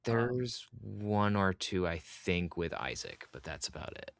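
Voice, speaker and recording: A man's voice: sits low, very animated, measured pace, gravelly, a bit bright, no room sound, clean studio sound. A man hosting a podcast interview in a casual fan-chat style.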